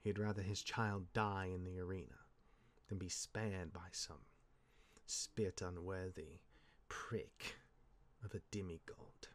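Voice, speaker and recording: A man playing a cursed demigod, a wry mentoring older brother who speaks in measured, dramatic pauses in an audio drama. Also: low voice, steady tone. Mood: wry, solemn. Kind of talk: dramatic audio roleplay dialogue.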